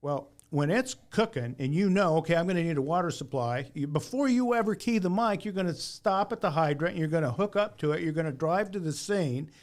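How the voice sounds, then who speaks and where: A man's voice: fairly high pitch, lively intonation, a little fast, few pauses. A man chatting casually about his trade on a podcast, plainspoken, folksy and emphatic. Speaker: podcast panelist and former firefighter. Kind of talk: casual opinionated shop talk.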